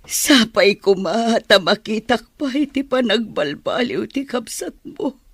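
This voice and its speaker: Aging radio-drama mother: unhurried, husky, dry close sound, grieving and resigned. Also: fairly high pitch, animated, fairly bright tone, no echo, exaggerated voice. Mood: sorrowful, worried. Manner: sighing, sorrowful. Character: weary aging mother grieving over her wayward son.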